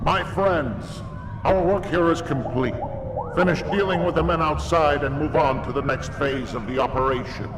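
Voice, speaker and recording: An actor playing a formal, commanding villain who leads an armed crew in a video game. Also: male voice, on the high side, normal speed, lightly acted. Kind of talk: video game cutscene acting. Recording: echoey, siren noise behind.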